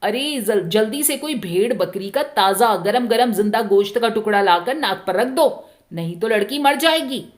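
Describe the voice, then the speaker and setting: A woman voicing a bossy old village woman who calls out hurried advice in a storybook reading. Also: fairly high pitch, expressive, lightly acted. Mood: urgent.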